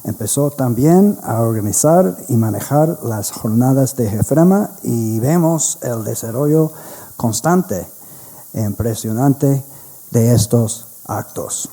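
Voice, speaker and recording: Slow, dark-voiced American man reading. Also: medium pitch, expressive. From United States. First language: English. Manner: slow, careful reading with some restarts. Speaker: presenter at a history conference. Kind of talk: reading a prepared introduction.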